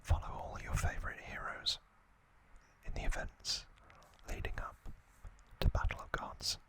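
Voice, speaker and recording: A man hosting an ASMR video, whispering softly and deliberately into a close microphone. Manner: slow, deliberate, soft whispering. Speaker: ASMR video creator and host.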